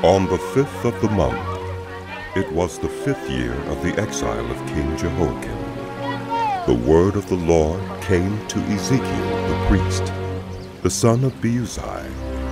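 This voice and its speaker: Very deep, gravelly male narrator over music. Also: big pitch swings. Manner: solemn, deliberate. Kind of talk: dramatized scripture narration, formal announcing. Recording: loud music behind voice. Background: loud music.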